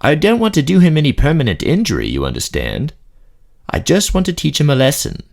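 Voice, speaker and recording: A man voicing a young female dark elf queen, earnest, hesitant and girlish, in a fantasy audio drama. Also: on the high side, wildly swooping pitch, a little fast, gravelly, voice pushed up, exaggerated voice.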